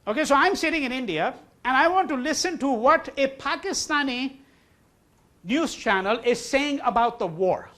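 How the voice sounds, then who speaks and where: Male professor, Hindi native, high-pitched and lively classroom lecturer; poses his own questions and repeats key terms. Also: moderate pace. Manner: repeats key words for emphasis, asks and answers own questions. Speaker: university lecturer. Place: lecture hall.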